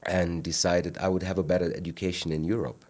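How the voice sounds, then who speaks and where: A Kenyan man in his forties, a podcast guest telling stories from his childhood in a relaxed conversational way and acting out moments from his past. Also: low voice, a little fast. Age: 40s. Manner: animated storyteller, acts out his younger self. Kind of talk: casual interview storytelling.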